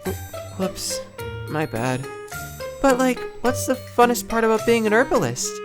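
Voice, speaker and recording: A woman's voice: a little high, sing-song, measured pace, music in the background, low-quality recording. A woman playing a shy, self-doubting young model in a fan audio drama, hesitant and stammering. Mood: shy, unsure. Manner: hesitant, soft-spoken, stammering.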